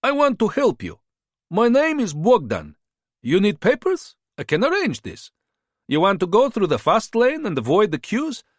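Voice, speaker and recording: A man voicing a smooth-talking male border fixer of about thirty, speaking broken English with a foreign accent, pushy and flattering. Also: sits high, moderate pace, no echo, studio quality, voice pushed up, exaggerated voice. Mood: wheedling. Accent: unplaceable foreign accent.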